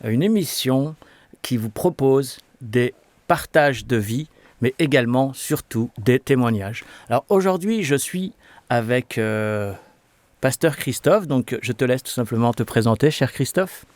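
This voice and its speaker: A man's voice: medium pitch, lively intonation, measured pace, a little mellow, dry recording. A man hosting a faith interview show, asking questions in a friendly way with many fillers. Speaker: host.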